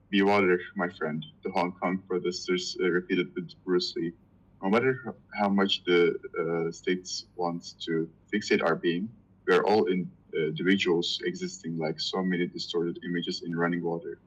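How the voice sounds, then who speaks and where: A man whose first language is Turkish, reading a dense philosophy text aloud to a reading group, halting and stumbling over hard words. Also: deep voice, a bit bright, breathy edge, lo-fi sound.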